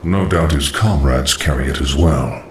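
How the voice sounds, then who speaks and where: An actor playing a cold, scheming undead lich sorcerer in a video game cutscene, with a hollow voice and formal, measured archaic phrasing. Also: male voice, rumbling low, a little hiss or hum. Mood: cold, knowing. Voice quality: hollow, echoing. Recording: echoing, distant voice over music.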